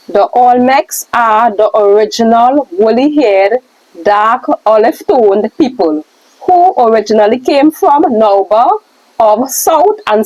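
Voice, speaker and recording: A woman's voice: on the high side, a little mellow, no room sound, rough recording. A woman reading aloud from a scripted question-and-answer lesson as a co-reader.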